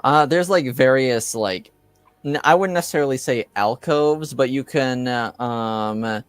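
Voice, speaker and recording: Slow-talking, clear-voiced man as game master. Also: mid-range voice, no echo. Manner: halting, searching for words. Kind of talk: improvised narration of a tabletop game.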